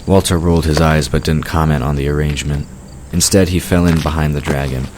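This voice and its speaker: A man's voice: a bass voice, steady tone, a little fast. A man narrating a fantasy audio drama from a script. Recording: clearly noisy background ambience. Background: outdoor ambience sound effects.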